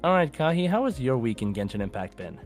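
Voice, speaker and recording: A man's voice: mid-range voice, sing-song, fast. Casual male podcast host with music behind.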